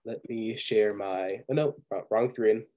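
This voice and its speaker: A man teaching an online coding class over a video call, sick right now, talking in a relaxed, patient way with many pauses and ums. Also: lowish voice.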